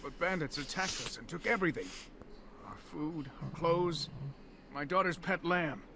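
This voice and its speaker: A man's voice: fairly high pitch, moderate pace, lightly acted. An actor playing a polite, humble elf father, a robbed refugee in a fantasy video game. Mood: pleading, downcast. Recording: outdoor ambience noise behind.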